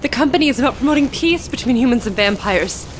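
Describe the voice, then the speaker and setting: Woman acting a high-voiced, defiant young captive. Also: expressive, quick pace, slight background noise. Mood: strained defiance. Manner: strained, defiant. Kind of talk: dramatic audio fiction acting.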